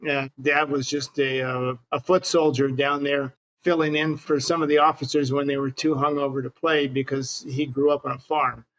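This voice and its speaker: A man's voice: mid-range voice, level tone, normal speed, hardly any pauses, fairly bright tone, low-quality recording. An older man from Hawaii with an American accent, casually reminiscing as an interview guest. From Hawaii, United States.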